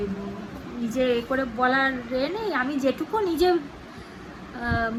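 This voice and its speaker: A middle-aged woman with a West Bengal accent chatting casually for a home vlog, rambling and rephrasing as she goes. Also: sits high. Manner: rambling, repeats and rephrases herself. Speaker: family vlogger. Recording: clearly noisy home recording.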